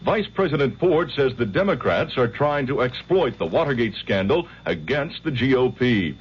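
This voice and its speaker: A man's voice: medium pitch, very animated, moderate pace, husky. American male radio newsreader, muffled broadcast. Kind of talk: 1970s radio news bulletin. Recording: dull old radio broadcast, music behind.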